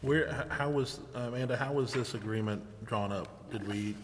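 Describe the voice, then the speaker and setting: A man's voice: medium pitch, normal speed. A man speaking as a council member, putting questions and remarks informally at a public meeting. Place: reverberant council chamber. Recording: hall reverb, faint background noise.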